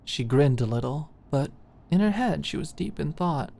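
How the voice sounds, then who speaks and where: A woman's voice: sits low, expressive, moderate pace, gravelly, mellow. A woman reading scripted romance fiction as an audiobook narrator in an ASMR style.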